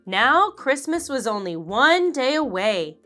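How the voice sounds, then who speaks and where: A woman reading a children's picture book aloud, slowly and expressively. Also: sits high, faint music behind. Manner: slow, expressive, animated character voices. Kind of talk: read-aloud storybook narration.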